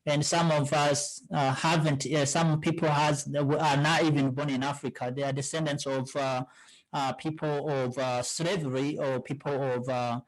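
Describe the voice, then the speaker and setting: A man hosting an interview, his voice a little higher than most men's. He asks long, winding questions full of pauses and filler sounds. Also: poor audio. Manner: hesitant, many filler "uh"s. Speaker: interview host.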